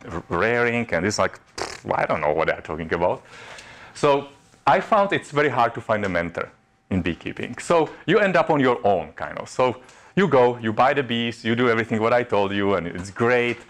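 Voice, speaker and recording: A man in his forties with a Czech accent gives a chatty, self-mocking workshop talk in a classroom, his fairly low voice rising when a story gets lively. He is caught by a camera across the room rather than a close mic. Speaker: workshop presenter. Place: classroom in front of a projection screen. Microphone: far camera.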